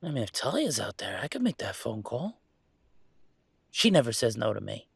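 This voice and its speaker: Casting: young male werewolf played by a man for boyfriend roleplay audio; gravelly fry, close dry sound, halting, affectionate, conversational delivery. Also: medium pitch, big pitch swings, a bit bright, dry recording, full character voice. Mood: pensive, wry. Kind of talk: intimate audio roleplay acting, one-sided conversation.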